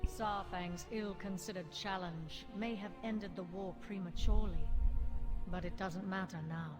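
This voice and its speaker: A woman's voice: mid-range voice, fairly even intonation, measured pace, over music, natural voice. A woman playing a cold, embittered undead banshee queen, speaking with imperious deliberation in a dramatic game cinematic. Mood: cold, scornful.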